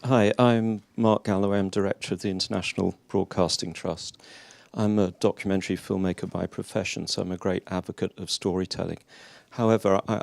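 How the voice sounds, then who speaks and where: Low, creaky-voiced man posing a challenge. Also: no room sound. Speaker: audience member, documentary filmmaker. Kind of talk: critical question at a panel discussion.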